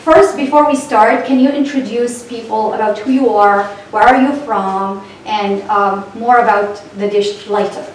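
A woman's voice: medium pitch, steady tone, measured pace, few pauses, slight background noise. Woman interviewer in a very echoey room. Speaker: interviewer and host. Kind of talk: casual interview. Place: indoors, in a home. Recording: very echoey room, distant mic.

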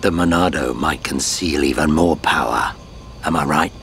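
An actor playing a shrewd, easygoing middle-aged mentor in a video game. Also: male voice, a bass voice, moderate pace, fairly bright tone, slight background noise. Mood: probing. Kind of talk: video game cutscene acting.

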